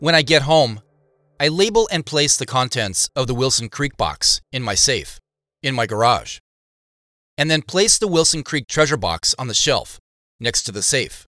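A man narrating a scripted audio drama in the first person as a reflective investigator, with measured, descriptive storytelling. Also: mid-range voice, very animated, bright, forceful tone, no echo, clean studio sound. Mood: subdued, pensive. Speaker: narrator and lead character.

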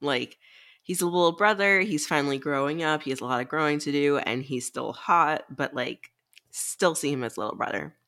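A woman's voice: sits low, normal speed, a bit bright. A woman co-hosting a book podcast, chatting casually with a wry, joking manner. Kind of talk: casual chat.

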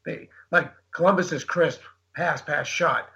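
A man co-hosting a casual sports chat, ranting sarcastically and exasperated, his voice going hoarse. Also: on the high side, fairly even intonation, unhurried, pushed and bright. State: losing his voice. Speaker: podcast co-host.

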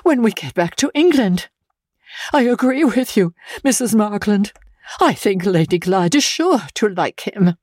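A woman's voice: mid-range voice, expressive, unhurried, a little mellow, pitched above the performer's own voice. A woman voicing a jealous aristocratic husband, cold and vindictive, in a dramatic reading of a classic novel. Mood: pointed.

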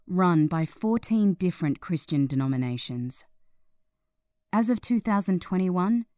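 A woman narrates a long-form article in a measured, serious manner. Her voice sits a little low and has a soft, mellow tone. The sound is dry and close, with no room on it. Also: dry recording. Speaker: article reader.

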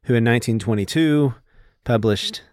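A man with a Texan accent, chatting as a podcast co-host who gives background context, rambling and quick to laugh. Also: medium pitch, a little mellow, no echo, clean studio sound. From Texas, USA. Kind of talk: casual chat.

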